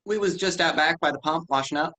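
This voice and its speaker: A man's voice: on the high side, fast, pushed and bright. A man reading a timid young farm boy. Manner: earnest, timid. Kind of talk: staged play reading.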